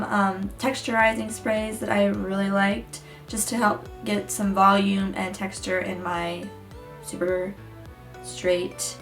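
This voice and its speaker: A young woman, a little hoarse, chatting her way through a hair tutorial with lots of ums and likes. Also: medium pitch. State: hoarse, getting her voice back. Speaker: beauty vlogger. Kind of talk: casual hair tutorial chat. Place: room at home beside a window. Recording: echoey room, mic a bit far.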